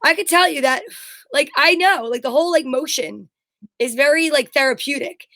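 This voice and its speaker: A woman's voice: high voice, normal speed, sharp tone, no echo. A woman co-hosting a casual chat show, talking in a blunt, emphatic, rambling way and swearing freely. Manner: rambling anecdotal storytelling. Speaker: show co-host.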